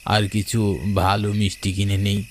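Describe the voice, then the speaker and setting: Older village storyteller played by a man, lowish voice, suspenseful ghost-tale narration for a cartoon, clear cricket and night noise behind. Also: completely flat delivery, moderate pace, lightly acted. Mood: reminiscing. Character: older village man recounting his youthful ghost encounter. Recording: noisy night ambience with chirping crickets.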